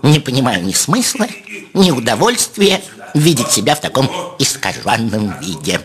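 A man's voice: mid-range voice, very animated, normal speed, a crowd in the background, close to an everyday voice. A man voicing a touchy young male ram poet in a cartoon, plaintive and dramatic in manner. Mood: disdainful. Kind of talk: cartoon voice acting.

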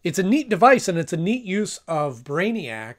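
Slightly high-voiced guy reviewing. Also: sing-song, moderate pace. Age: 30s. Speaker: video host and reviewer. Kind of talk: casual episode review to camera. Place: small home room, indoors.

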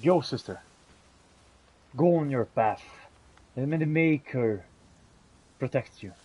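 A man's voice: mid-range voice, lively intonation, takes it slowly, dark tone, breathy edge, rough recording. A man playing a video game in character, talking to companions in old-fashioned phrasing. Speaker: gamer role-playing his character. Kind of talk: in-character game roleplay commentary.

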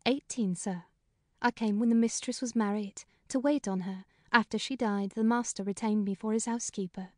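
A man's voice: high voice, no room sound, studio quality, voice pushed up, close to an everyday voice. A man voicing a talkative middle-aged female housekeeper in a plain, frank way in a storybook reading. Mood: chatty. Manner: brisk, talkative, plain-spoken. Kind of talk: audiobook character voice.

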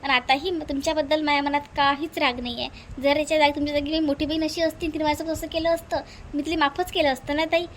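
A woman's voice: high voice, fairly even intonation, slight background noise, close to an everyday voice. A woman voicing a kind young sister-in-law in a cartoon family drama, earnest and respectful, with a Varhadi Marathi accent. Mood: earnest, forgiving. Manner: earnest, respectful, rapid reassurance.

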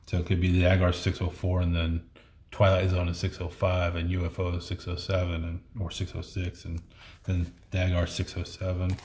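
Low flat-voiced man reading comic issues. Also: fairly quick. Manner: muttering, reading out issue numbers. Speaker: comic collection unboxing host. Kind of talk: casual commentary while sorting comics. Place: indoor room at a table. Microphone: fixed camera across the table. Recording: echoey room, faint noise.

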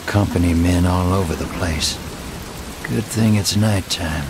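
Deep-toned gunslinger, sardonic, dry, unimpressed, Western video game, rainy backdrop. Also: male voice, a little slow. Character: dry, sardonic, cool Western hired gunman. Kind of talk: dramatic video game cutscene acting. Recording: rain and thunder noise behind.

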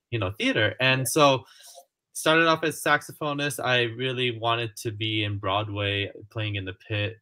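Bright-voiced man from Japan on a video call. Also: lowish voice. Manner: chatty, many fillers and restarts. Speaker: music and artistic director. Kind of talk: casual video-call interview. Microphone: headphones on a video call.

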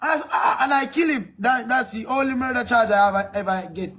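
A very high, squealing applicant, put on by a man, full of boasts in broken English. He wails and protests when pressed, his voice cracking upward. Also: a little fast, sharp tone. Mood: wailing. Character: excitable male visa applicant, boastful.

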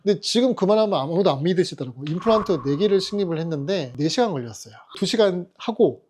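A man in his forties from Jeju, a local dentist giving relaxed, chatty interview answers with frequent soft fillers. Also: high-pitched, fast, a little hiss or hum. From Jeju, South Korea.

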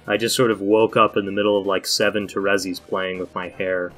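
A man voicing a laid-back teenage boy in a cartoon comic dub, deadpan and dryly sarcastic. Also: deep voice, brisk, faint music behind. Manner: deadpan, dry, rambling sarcasm.

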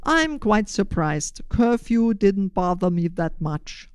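Older German-accented woman, clean smooth voice. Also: mid-range voice, sing-song, takes it slowly, no echo, clean studio sound. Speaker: podcast host and initiator. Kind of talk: prepared, scripted-sounding podcast interview answers.

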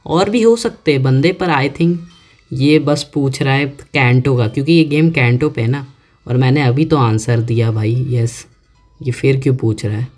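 A man's voice: medium pitch, a little mellow. Chatty man narrating his gameplay. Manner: chatty, talks to himself, reads on-screen text aloud slowly. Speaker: gaming YouTuber. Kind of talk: casual let's-play commentary. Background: faint game music.

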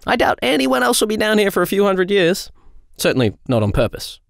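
A man's voice: sits high, big pitch swings, fairly quick, gravelly, dry recording, studio quality, heavily acted. An actor playing a jovial, flippant young male angel in an audio drama, theatrical and chatty. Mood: teasing. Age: young adult.

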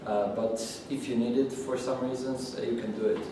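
A young man from Poland giving a technical talk to a user group, halting and full of fillers and restarts. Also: mid-range voice, steady tone. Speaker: conference speaker. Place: meeting room with audience. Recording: echoey, distant room mic with noise.

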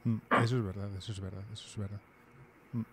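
Male host in his thirties, halting and hesitant, close mic, small home room. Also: fairly low pitch, level tone, slight background noise. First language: Basque. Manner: hesitant, many fillers and restarts. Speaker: podcast host. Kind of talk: casual video-call chat. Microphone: headphones and studio mic.

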